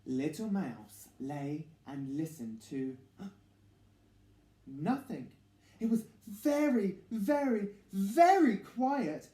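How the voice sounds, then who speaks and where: A man reading a picture book to children as an expressive storyteller, with theatrical emphasis and dramatic pauses. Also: very high voice. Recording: echoey room.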